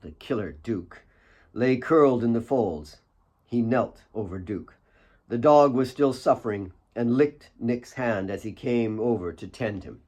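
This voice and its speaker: A man reading his own fiction as an audiobook, with solemn, deliberate dramatic narration. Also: mid-range voice, a little mellow.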